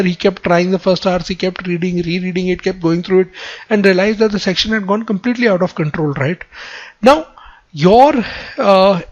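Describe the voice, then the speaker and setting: Indian male mentor, high voice, emphatic conversational teaching with frequent tag questions, slightly muffled lo-fi recording. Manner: emphatic, repetitive phrasing with frequent "right?" tags. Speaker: exam prep mentor. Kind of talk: conversational instructional advice.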